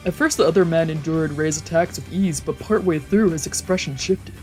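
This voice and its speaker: Excitable woman streamer reading aloud. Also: low voice, brisk, faint music behind. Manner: excitable, sweary. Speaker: let's play streamer. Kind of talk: visual novel read-aloud with casual reactions.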